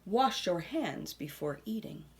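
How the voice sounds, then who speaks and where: A woman reading a children's picture book aloud expressively, with dramatic pauses and hushed asides. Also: on the low side, sing-song, a little hiss or hum.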